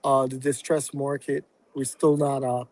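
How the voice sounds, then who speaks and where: A man from Barbados, a guest on a podcast, talking through his plans in a reflective, halting way with many fillers. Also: mid-range voice, level tone, darkish tone, dry recording. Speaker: real estate investor and guest. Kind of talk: casual podcast interview.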